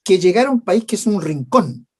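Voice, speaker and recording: Emphatic interviewer with a husky voice. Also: mid-range voice, lively intonation, moderate pace. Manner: emphatic, poses rhetorical tag questions. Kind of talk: interview, frequent backchannel.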